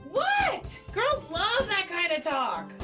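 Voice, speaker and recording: Young female cartoon friend played by a woman, playful and pushy, very lively high voice, over clear music. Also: takes it slowly, full character voice. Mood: bossy, encouraging. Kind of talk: motion comic voice acting.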